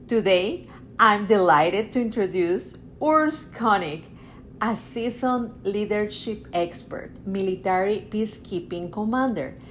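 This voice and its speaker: A woman's voice: mid-range voice, a little hiss or hum. A woman with a non-native English accent, hosting a podcast and presenting a guest. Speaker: podcast host. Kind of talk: prepared guest introduction.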